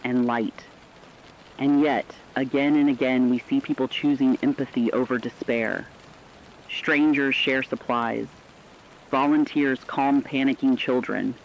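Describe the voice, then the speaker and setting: A man's voice: mid-range voice, dull audio, slight background noise, close to an everyday voice. A man acting as a radio correspondent, reading scripted dramatic news in a solemn, earnest, rhetorical manner. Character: solemn radio correspondent reporting human survival amid apocalypse.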